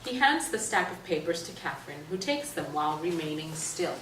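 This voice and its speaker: A woman's voice: sits low, very animated, a little fast. A woman narrating stage directions as a scripted reading for a play. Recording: roomy, distant stage recording, faint noise.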